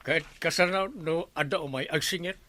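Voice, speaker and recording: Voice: medium pitch, a little fast, pushed and bright, natural voice. A performer playing a grumbling, evasive villager with an Ilocano accent in a radio comedy drama, asking complaining questions.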